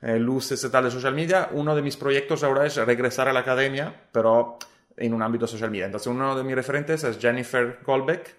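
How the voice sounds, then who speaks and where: A man's voice: mid-range voice, fairly quick. A man from Italy speaking Spanish with an Italian accent, answering interview questions hesitantly with many fillers and restarts. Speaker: guest, social media scientist.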